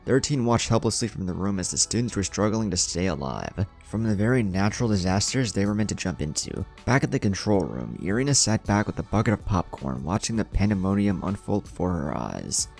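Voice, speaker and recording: A man's voice: low-pitched, big pitch swings, brisk, creaky, few pauses, a little mellow, soft background music. A man reading a scripted story as a narrator, with dramatic delivery. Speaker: narrator of a fan fiction story.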